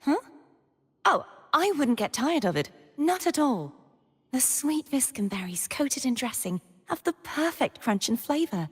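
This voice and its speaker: A gentle young maiden from a fantasy video game, speaks in a high, breathy voice. She is earnest and sweet, with measured, storybook phrasing. A long hall-like reverb trails her words. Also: big pitch swings, heavily acted. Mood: cheerful, sweet. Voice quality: soft, breathy. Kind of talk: scripted video game character voice lines.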